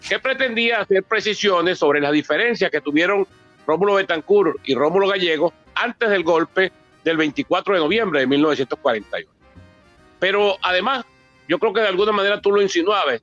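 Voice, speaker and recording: A Venezuelan man in his sixties speaks in a high register, presenting his book with many asides and repetitions. The sound is dry and somewhat dull, with faint music behind him. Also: a bit bright, dry recording. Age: 60s. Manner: discursive, with frequent asides and repeated words. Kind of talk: public book presentation speech.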